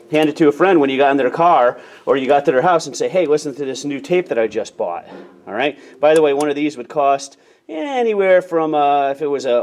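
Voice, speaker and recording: A man's voice: medium pitch, a little mellow. An American man giving a casual hands-on demonstration as an instructor, explaining plainly in small steps and checking in as he goes.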